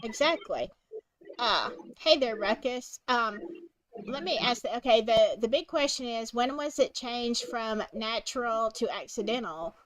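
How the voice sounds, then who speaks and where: A woman hosting a live stream with a slightly high voice, agreeing readily and reading out questions from viewers. Her sound is dry and close, with almost no room in it. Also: lively intonation, measured pace, a bit bright, dry recording, rough recording. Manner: agreeable, reads out viewer comments.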